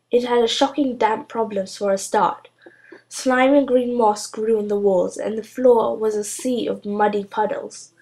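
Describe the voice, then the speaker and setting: A woman narrating a children's storybook aloud as an amateur reader, in an expressive scripted style. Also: medium pitch.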